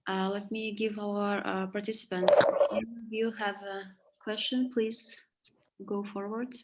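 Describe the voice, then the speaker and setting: A woman moderating an online question session, calm and measured. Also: medium pitch, heavy background noise. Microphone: video call.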